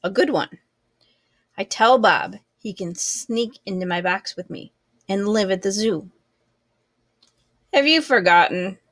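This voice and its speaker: Female reader for children's novel, unhurried and measured, mid-pitched, expressive storytelling. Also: no echo, clean studio sound. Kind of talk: expressive children's book read-aloud.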